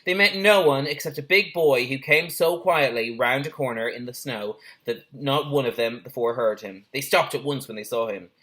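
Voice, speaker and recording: A man's voice: medium pitch, moderate pace, few pauses, pushed and bright. A man, a teacher, reading a children's story aloud expressively with brief asides.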